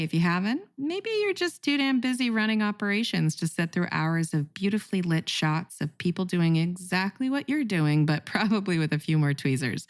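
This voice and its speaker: A woman's voice: low voice, darkish tone. A woman hosting a podcast, reading a wry, conversational intro and then interviewing guests. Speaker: podcast host.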